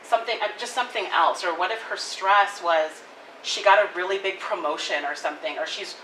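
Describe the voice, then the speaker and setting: A woman gives feedback in a casual, animated way, her voice bright and a little low. She sounds far from the mic, and the room echoes around her. A faint hum sits behind her. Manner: animated, fast, many "like" fillers, laughing. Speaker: audience member giving feedback. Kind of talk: casual spoken critique at a live table reading. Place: coffee shop event room.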